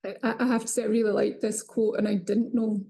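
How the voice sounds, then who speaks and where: A woman hosting a webinar, measured and thinking aloud with small restarts as she speaks. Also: mid-range voice, little pitch movement, vocal fry, warm tone, breathy edge. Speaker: webinar host.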